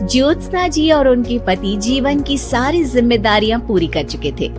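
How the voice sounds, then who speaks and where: Female story narrator: high, expressive voice, scripted tale delivery over background music. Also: normal speed. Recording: music behind the voice.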